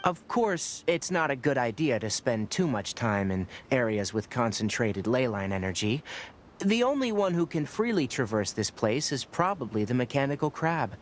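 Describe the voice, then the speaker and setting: A man voicing a calm, precise young ranger. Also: mid-range voice, slight background noise, lightly acted. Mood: explaining. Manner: measured, explanatory, matter-of-fact.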